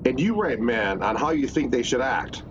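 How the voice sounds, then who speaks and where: A man's voice: medium pitch, sing-song, moderate pace, close to an everyday voice. Muffled, earnest lovestruck man, played by an actor. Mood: frustrated, earnest. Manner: earnest, sputtering when flustered. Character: earnest, hesitant male employee secretly in love with his boss. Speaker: actor in a radio play. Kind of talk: radio play romantic comedy acting. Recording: muffled, noisy recording.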